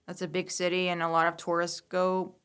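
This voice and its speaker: Hesitant woman with a smooth voice. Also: lowish voice, monotone, a little fast, a bit bright. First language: Hungarian. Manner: hesitant, many ums, short answers. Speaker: podcast guest. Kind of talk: casual interview.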